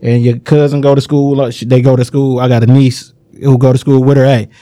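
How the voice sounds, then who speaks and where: A man's voice: medium pitch, flat delivery, a little fast, mellow, no room sound. A man co-hosting a podcast, giving laid-back, streetwise advice and checking in with his listeners often. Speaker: podcast co-host. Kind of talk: casual podcast chat.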